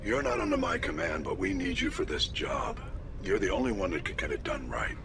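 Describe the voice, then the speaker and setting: A man's voice: low voice, animated, a little fast, gravelly, noisy background. A man playing a stern, authoritative military admiral in a video game, formal, resolute and persuasive. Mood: firm, commanding.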